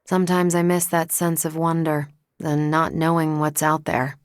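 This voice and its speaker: A woman playing a confident, wry and brisk superhero soldier in a video game, with a casual, assertive way of talking. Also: low voice, flat delivery, no room sound, natural voice. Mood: dry, casual. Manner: casual, dry teasing, assertive. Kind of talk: video game character dialogue.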